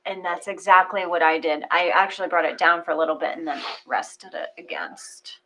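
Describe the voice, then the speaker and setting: Woman with a lively, varied delivery. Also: fairly low pitch, fairly quick, poor audio. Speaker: guided meditation leader. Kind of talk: guided meditation and casual group chat. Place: live online session from a room. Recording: faint background noise.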